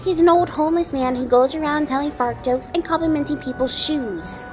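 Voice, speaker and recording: A woman playing a brash, sharp-tongued goblin in a fantasy audio drama, blunt and scornful. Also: high voice, brisk, over music, lightly acted. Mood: scornful, impatient.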